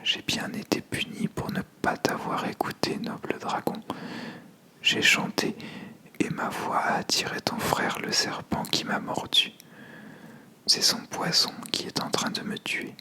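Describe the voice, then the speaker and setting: A storyteller voicing a small, gentle, trusting male songbird in a whispered fable. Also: unhurried. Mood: dying, sorrowful.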